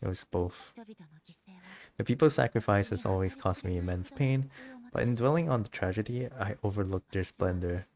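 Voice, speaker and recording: A man's voice: fairly low pitch, normal speed, a little mellow, natural voice. A man reading the part of a solemn, dutiful immortal female ruler in a game, formal and earnest. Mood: solemn, regretful.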